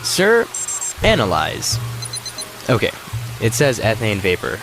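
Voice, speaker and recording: A man's voice: on the low side, huge pitch swings, slow, full character voice, rough recording. An actor playing an earnest, literal-minded male astronaut in an audio sci-fi drama, hesitant and full of puzzled questions. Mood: startled. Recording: music behind the voice.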